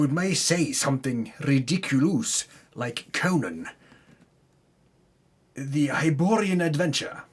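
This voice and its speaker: A man's voice: medium pitch, breathy edge. A man giving a mock-solemn, theatrical dramatic reading as the storyteller of a tabletop campaign, pompous with comic self-correcting asides.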